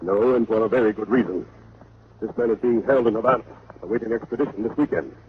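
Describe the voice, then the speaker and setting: Fast-talking radio insurance executive. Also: male voice, fairly low pitch, slightly rough. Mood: businesslike. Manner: formal, urgent, matter-of-fact. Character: brisk, businesslike male insurance company executive. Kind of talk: 1940s-50s radio drama acting. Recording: noisy, phone-like old radio recording.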